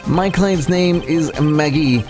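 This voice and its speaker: A woman voicing an earnest, unsure young male defense lawyer in a courtroom game, sometimes halting, sometimes assertive. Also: low voice, music in the background. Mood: halting, accusing. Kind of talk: courtroom video game voice acting.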